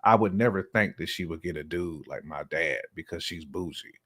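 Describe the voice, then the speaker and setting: A man's voice: deep voice, moderate pace, fairly bright tone, husky. A man chatting casually and slangily, often acting out imagined quotes as he gives relationship opinions. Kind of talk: casual conversational chat.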